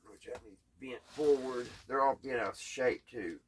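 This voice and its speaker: A man chatting casually in a halting, puzzled, folksy way, thinking out loud as he tinkers. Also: mid-range voice, slight background noise. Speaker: host of a radio repair hobby channel. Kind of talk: casual hands-on repair chat, thinking out loud.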